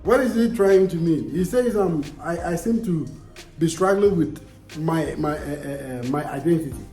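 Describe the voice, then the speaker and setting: A man's voice: on the high side, moderate pace, warm tone, roomy sound, faint music behind. A young Ghanaian man with a Ghanaian accent, vlogging casually and answering viewer comments in an emphatic way, repeating himself and asking rhetorical questions.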